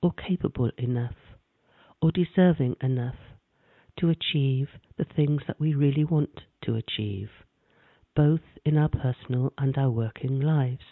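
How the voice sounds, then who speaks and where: A man gently narrating a guided meditation in a calm, measured way. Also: mid-range voice, vocal fry, no room sound. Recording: dry, tinny phone-like sound.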